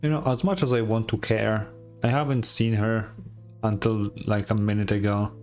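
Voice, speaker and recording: A tired woman giving casual, blunt, deadpan commentary while reacting to a video game. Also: a bass voice, moderate pace. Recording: game music faintly behind.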